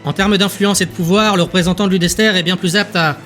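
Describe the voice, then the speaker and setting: A man's voice: high-pitched, fairly even intonation, fast, bright, forceful tone, voice pushed up. A man voicing a haughty woman councillor, formal and full of scorn, in an audio drama. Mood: contemptuous. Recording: music bed behind the voice.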